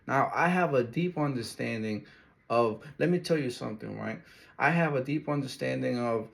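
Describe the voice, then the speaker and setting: A man's voice: mid-range voice, moderate pace, gravelly. A man from Ecuador giving casual, emphatic reaction commentary, repeating his key words and using loose street slang. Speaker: video reactor.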